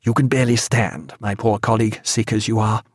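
A man voicing a calm, level-headed ship's physician speaking in measured tones. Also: on the low side, no echo, clean studio sound, lightly acted.

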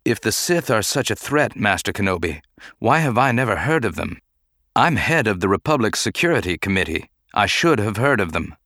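A man performs an indignant middle-aged politician for an audiobook. The voice is mellow yet forceful and challenging, in a close, dry recording. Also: lowish voice, sing-song, normal speed, dry recording. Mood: skeptical, edgy. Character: middle-aged male senator, indignant, hot-tempered politician. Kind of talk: dramatic audiobook character acting.

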